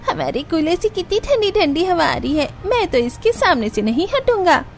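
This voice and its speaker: A woman voicing an excited, playful little village boy in a cartoon story, exclaiming with glee. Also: extremely high pitch, normal speed, slight background noise, heavily acted. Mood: delighted.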